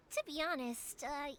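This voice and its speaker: Young female game character, high, lively swooping pitch, cheerful, earnest, warm-hearted. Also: noisy background, full character voice. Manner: chirpy, earnest, expressive. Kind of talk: video game voice acting. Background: game music.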